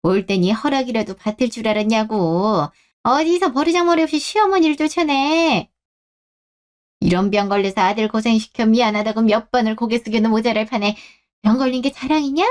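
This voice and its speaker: A woman's voice: a little high, expressive, brisk, lightly acted. An overbearing older mother-in-law from a radio drama, acted by a woman. She scolds and nags in a raised, harsh voice, always ready to take offense. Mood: indignant, scolding. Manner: harsh, nagging, indignant. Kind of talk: Korean radio drama acting.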